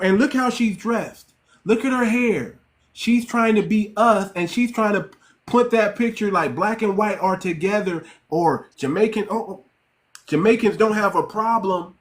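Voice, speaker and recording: A male host with a high voice speaks emphatically. He cuts in often during a heated live-stream argument.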